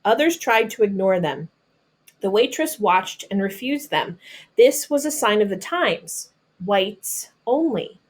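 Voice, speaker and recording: A woman with an American accent reads a picture book aloud like a teacher, pausing to explain things simply and patiently to children. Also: medium pitch, lively intonation. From North Carolina, United States.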